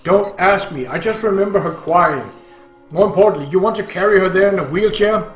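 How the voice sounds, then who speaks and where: A man's voice: fairly high pitch, normal speed, reverberant, soft background music, lightly acted. Man acting a harsh, bitter, exhausted father. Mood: angry. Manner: shouting, gruff, blunt.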